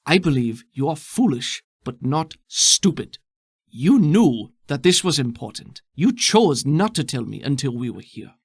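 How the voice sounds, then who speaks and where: A man voicing a stern, exasperated female plant creature in a tabletop game, with formal, cutting, deliberate phrasing. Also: mid-range voice, sing-song, gravelly, husky, no room sound, exaggerated voice. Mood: stern reproach.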